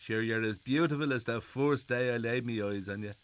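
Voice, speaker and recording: A man's voice: mid-range voice, brisk, slight background noise, lightly acted. A man playing a playful, doting Irish husband in his sixties, jokey and charming, in a radio drama.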